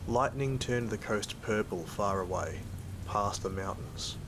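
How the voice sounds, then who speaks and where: An actor with an Australian accent playing a weary, wry, sweary company worker in an audio drama, with a dry, laconic delivery. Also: male voice, on the low side, measured pace, noisy background, close to an everyday voice. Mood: brooding, ominous. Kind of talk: first-person audio drama narration and dialogue.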